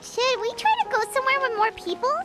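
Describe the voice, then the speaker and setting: A woman's voice: very high-pitched, fast talker, sharp tone. A woman voicing a young female monster hunter in a video game, inquisitive, practical and a little hesitant. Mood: curious, tentative. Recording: music behind the voice.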